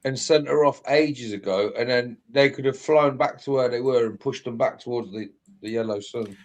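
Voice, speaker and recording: Mid-pitched man chatting casually on stream. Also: normal speed, a little mellow. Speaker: livestream co-commentator. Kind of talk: casual chat.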